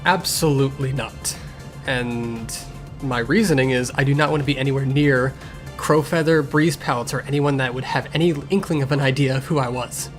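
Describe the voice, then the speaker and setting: A man's voice: mid-range voice, normal speed, close to an everyday voice. A man voicing a grumpy, sarcastic blind young cat healer in a fan Q&A, with a curt, irritable and defensive manner. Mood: firm, dry. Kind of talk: improvised in-character fan Q&A. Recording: music behind the voice.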